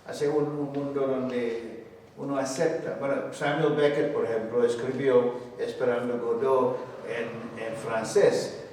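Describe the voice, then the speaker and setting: A man whose first language is not Spanish answers questions after a reading, slow and full of false starts. His voice comes from a distance in a large, echoing hall with faint hiss. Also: medium pitch, steady tone, darkish tone. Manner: slow and halting, with many restarts. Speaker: invited poet. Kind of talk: unscripted answers in a public talk.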